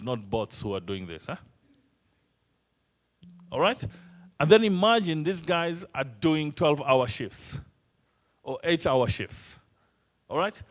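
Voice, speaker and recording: A man from Kenya whose first language is Swahili, running an interactive training session and teasing the room with many questions. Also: on the high side. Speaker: workshop trainer.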